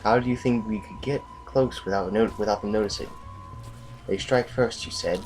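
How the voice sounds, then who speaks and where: A man playing a sober, war-weary revolutionary general in an audio drama, with a husky voice and a measured, blunt manner. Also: lowish voice, a little mellow, faint music behind, natural voice, poor audio. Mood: tense planning.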